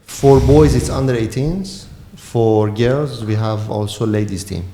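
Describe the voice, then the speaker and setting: Iranian-accented male coach, blunt and emphatic, mid-pitched, echoey room. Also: a little slow, dark tone, slight background noise. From Iran. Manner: frank and emphatic. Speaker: football coach. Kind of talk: podcast interview.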